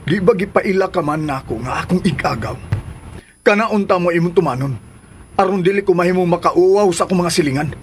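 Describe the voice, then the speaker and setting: A man's voice: sits high, fairly quick, slight background noise, lightly acted. A man acting in a Cebuano radio drama from the Philippines as an exasperated, defiant man, argumentative and emphatic. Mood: reproachful. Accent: Filipino, Cebuano. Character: exasperated, defiant man torn between two women.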